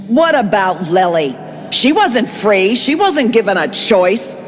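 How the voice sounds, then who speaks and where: An actress voicing a fierce, grieving troll barmaid who accuses and shouts in fury, in a game. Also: female voice, medium pitch, very animated, slow pace. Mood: enraged. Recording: game audio with hall reverb and music.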